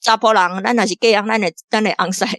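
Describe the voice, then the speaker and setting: A woman from Taiwan, a guest reader, talking through a radio drama in a lively way, often laughing and restarting her phrases. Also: medium pitch, fast talker, dry recording, clean studio sound. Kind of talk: casual on-air discussion.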